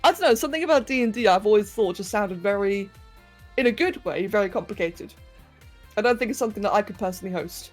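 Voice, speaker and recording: A woman's voice: medium pitch, lively intonation, fast talker, faint music behind. A young woman hosting a casual livestream, reading chat, greeting viewers and laughing as she talks to her pets. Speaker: livestream host. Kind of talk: casual chat with live chat while sculpting.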